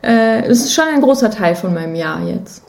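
A woman's voice: medium pitch, animated, normal speed, creaky, a little mellow. A woman talking casually and informally as a musician guest in an interview, with an enthusiastic manner. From Germany. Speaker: podcast guest, musician and singer.

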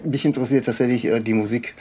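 A man hosting a podcast in a casual interview, talking in a halting, rambling way with a husky voice. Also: medium pitch, fairly even intonation, mellow. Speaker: podcast host.

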